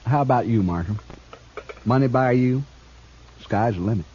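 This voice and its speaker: A man's voice: medium pitch, warm tone, lightly acted. A man acting a cocky, sly jailed outlaw in a western radio drama, talking in a folksy, mocking way. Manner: folksy, mocking drawn-out delivery. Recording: dull, noisy old radio recording.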